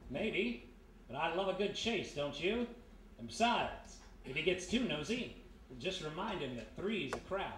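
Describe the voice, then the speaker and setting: In an echoey live room with faint noise, an actor plays a glib radio-drama private eye, a charming former thief. His male voice sits high, and he talks with flirtatious ease. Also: big pitch swings, a little slow. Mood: playful.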